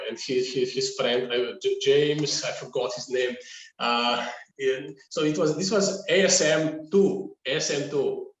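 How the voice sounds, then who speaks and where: A middle-aged man with a Slovenian accent, answering casually as a podcast guest, often halting and repeating words. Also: medium pitch, lo-fi sound. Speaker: podcast guest, agency founder. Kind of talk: casual conversational interview answer. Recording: echoey room, distant from mic.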